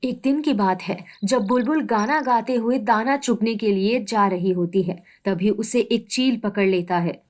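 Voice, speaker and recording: A woman's voice: mid-range voice, a little slow, hardly any pauses, darkish tone. A woman narrating a scripted children's story.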